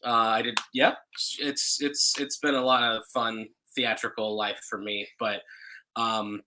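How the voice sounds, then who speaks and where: A man's voice: fairly low pitch, little pitch movement, unhurried, sharp tone, slight background noise, poor audio. A man in his thirties, a singer guesting on a casual chat show, telling stories in a talkative, emphatic way. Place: home room, indoors.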